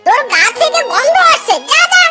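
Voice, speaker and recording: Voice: extremely high pitch, sing-song, moderate pace. A performer voicing disgusted, bossy animal villagers in a children's cartoon, scolding in repeated exclamations. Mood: scornful. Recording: loud music under the voice. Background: loud children's music.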